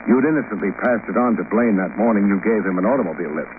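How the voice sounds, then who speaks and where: An actor with an American accent playing a wry, hardboiled private detective in a sardonic, clipped old radio-drama style. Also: male voice, lowish voice, animated, rapid-fire, close to an everyday voice. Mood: explaining. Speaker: lead actor, detective narrator. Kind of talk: 1940s-50s American radio detective acting. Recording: noisy, narrow-band old radio recording.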